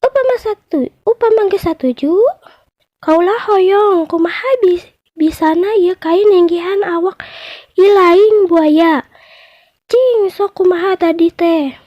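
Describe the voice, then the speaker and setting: A small, shrewd mouse deer judge from a children's fable, played by a woman storyteller, speaks very high, inquisitive and commanding. The sound is a little dull. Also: slow pace, no room sound. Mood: judging, instructing.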